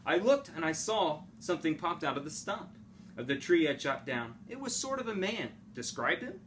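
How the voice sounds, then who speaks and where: A man reads a picture book aloud with theatrical, swooping delivery. His voice is fairly high and bright, with faint noise in the background. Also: big pitch swings, normal speed. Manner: dramatic, theatrical phrasing. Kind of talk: expressive children's story reading.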